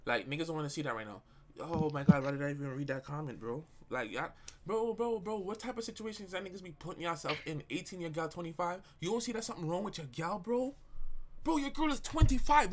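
A young man talks casually on a live stream, his voice sitting a bit high for a man. He is slangy and animated as he reacts to what his viewers type. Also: a little hiss or hum. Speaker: livestreamer.

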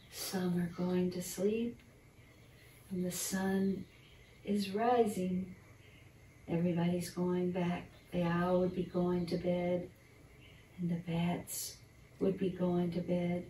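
Slow, mellow older woman reading a bedtime story. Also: lowish voice. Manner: unhurried, expressive, addressing young listeners. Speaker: storyteller reading a picture book. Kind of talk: gentle bedtime picture-book reading with commentary. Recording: roomy, distant mic, faint background noise.

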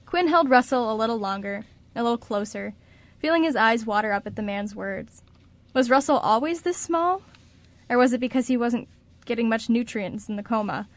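Higher-pitched woman narrating, dry sound. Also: expressive, normal speed, dry recording. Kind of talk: scripted story narration for radio drama.

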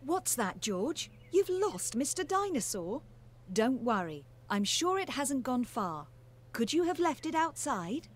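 A woman voicing a kind, patient mother pig in a children's cartoon game, in a gentle motherly manner. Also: high voice, sing-song, unhurried, exaggerated voice. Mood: reassuring.

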